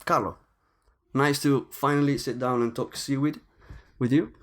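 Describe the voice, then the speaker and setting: A man's voice: medium pitch, fairly even intonation, normal speed, a bit bright. A man with a mid-range voice hosts a podcast. He delivers a scripted introduction and then asks his guest questions, sometimes repeating words as he starts a sentence. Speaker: podcast host.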